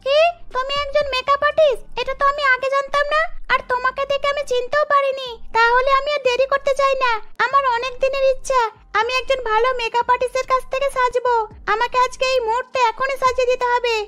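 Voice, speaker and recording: Woman to play a demanding young passenger in a cartoon, shrill, rapid and insistent, won't take no. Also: level tone, sharp tone, faint music behind. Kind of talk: cartoon voice acting.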